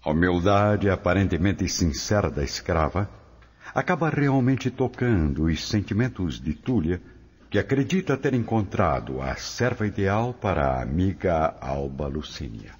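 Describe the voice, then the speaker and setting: Deep, expressive Brazilian male narrator. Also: measured pace, breathy edge. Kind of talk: dramatic scripted narration.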